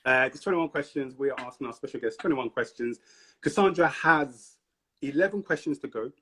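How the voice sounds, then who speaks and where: A man's voice: medium pitch, fairly quick, pushed and bright, slightly rough. A man hosting a live-streamed interview, upbeat and hyping up the audience between questions. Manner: upbeat hype, repeats prompts to the audience. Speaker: host.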